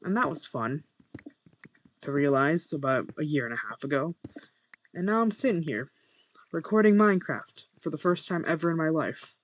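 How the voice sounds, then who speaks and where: A young man with a monotone voice, chatting casually while playing a game and muttering to himself over his first recording. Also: on the high side, moderate pace, pauses often. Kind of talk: casual gameplay commentary.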